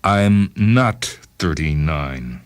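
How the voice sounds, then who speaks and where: A man's voice: very deep voice, lively intonation, extremely slow, smooth, heavily acted. A man voicing an exasperated, self-justifying man in his thirties with a grievance against his father, in a dramatized story reading. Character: aggrieved, unhappy 32-year-old man confronting his father. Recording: music bed behind the voice.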